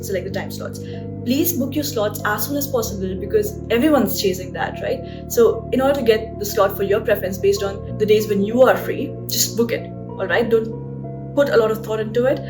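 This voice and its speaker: A woman's voice: mid-range voice, a little fast. A young woman doctor with an Indian accent, giving chatty advice in a vlog with lots of fillers and "right?" tag questions. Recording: voice a bit distant from the mic, guitar music behind.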